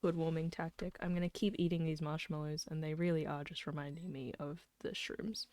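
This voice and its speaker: A woman's voice: deep voice, little pitch movement, a little fast, darkish tone, dry recording. A young woman doing casual live gameplay commentary as a streamer, playful and joking with mock-dramatic asides. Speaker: video game streamer. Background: faint to clear game music.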